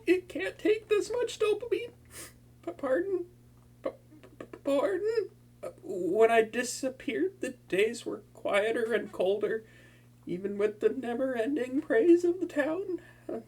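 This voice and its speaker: A man's voice: very high-pitched, big pitch swings, unhurried, darkish tone, full character voice. A man playing a flustered, boastful young male supervillain who stammers and swings between moods. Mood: remorseful. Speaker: voice actor. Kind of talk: hobby audio roleplay to a listener.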